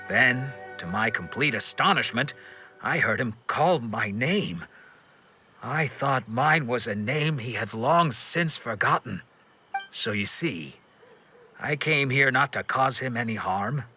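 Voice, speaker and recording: A man playing a solemn, reflective swordsman in a video game, recounting things in a measured way. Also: medium pitch, animated, bright, forceful tone, soft background music. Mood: wistful, reflective. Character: sombre, reflective male wandering swordsman recounting his past.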